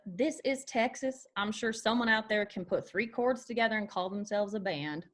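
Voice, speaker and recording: An actress playing a feisty young honky-tonk manager in a screenplay table read, with dry, quick-witted retorts. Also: female voice, medium pitch, fairly bright tone, natural voice.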